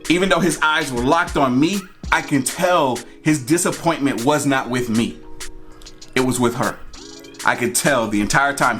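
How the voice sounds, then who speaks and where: An American man telling a personal story in a casual, conversational way, acting out bits of dialogue and repeating phrases for emphasis. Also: medium pitch. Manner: animated retelling, acting out dialogue. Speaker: storyteller and vlog host.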